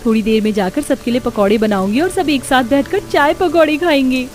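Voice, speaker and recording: A woman's voice: high-pitched, brisk, soft background music, lightly acted. A woman voicing a sweet, eager, dutiful young new bride in a cartoon story. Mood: excited.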